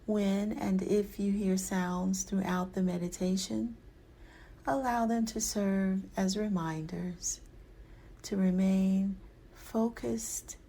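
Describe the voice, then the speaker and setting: A woman guides a meditation very slowly and gently. Her voice is soft and husky, with a creaky edge at times. She is soothing and hushed, often letting phrases fade into a whisper. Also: medium pitch, steady tone. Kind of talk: guided yoga nidra meditation.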